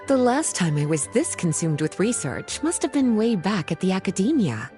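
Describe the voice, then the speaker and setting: A woman performs a scholarly witch librarian for a video game. She is coy and playful, speaking in polished, unhurried phrases that swing expressively in pitch. Soft music plays behind her. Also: very animated, natural voice. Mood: playful, inviting. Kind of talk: video game voice acting, scripted dialogue.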